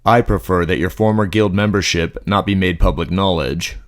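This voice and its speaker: A man playing a male dark elf general, composed, commanding and formally courtly, in a fantasy audio drama. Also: low voice, normal speed, close to an everyday voice. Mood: measured, guarded.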